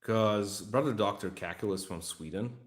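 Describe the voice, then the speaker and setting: A man's voice: on the low side, moderate pace, echoey room. A Hungarian man rambling and joking with his live chat while he assembles a miniature. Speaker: livestream hobby host. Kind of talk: casual livestream chat while building a model.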